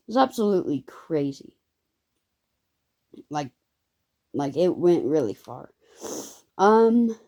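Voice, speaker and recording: Hesitant, slow-talking young vlogger. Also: male voice, sits high, mellow. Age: child. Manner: rambling, hesitant, many ums and self-corrections. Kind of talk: casual storytime vlog.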